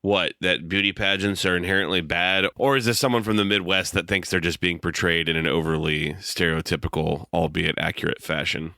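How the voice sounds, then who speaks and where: A man co-hosting a casual film review podcast, dry and measured with wry humor. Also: low-pitched, fairly even intonation, bright, forceful tone, no echo. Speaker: podcast co-host.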